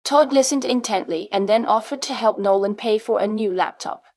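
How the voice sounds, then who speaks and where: A woman reading scripted comprehension narration for English learners in a measured, articulate manner. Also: medium pitch, a little mellow, no room sound, studio quality. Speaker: question-and-answer presenter for English learners. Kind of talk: scripted question-and-answer narration.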